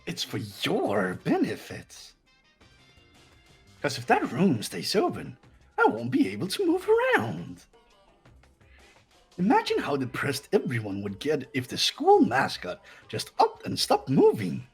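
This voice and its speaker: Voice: mid-range voice, wildly swooping pitch, unhurried, creaky, slightly rough, soft background music, heavily acted. A performer voicing a gleeful, mocking robotic bear mascot villain in a video game fan dub, with a sing-song, taunting manner. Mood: smug, mocking.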